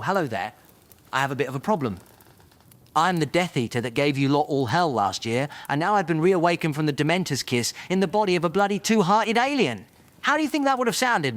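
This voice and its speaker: A man playing a defensive, defiant reformed villain wizard in an audio drama, sarcastic and insistent. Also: fairly high pitch. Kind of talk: fan audio drama acting.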